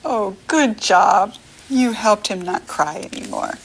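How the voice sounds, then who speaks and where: An American woman teaching young children through a picture book, speaking to the child directly in simple, encouraging phrases with pauses. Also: mid-range voice, lively intonation, a little hiss or hum, low-quality recording.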